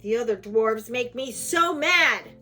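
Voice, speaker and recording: Grumpy dwarf man, put on by a woman, rude, blunt, grumbling and shouting, drawn-out words, storybook. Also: on the high side, animated, soft background music.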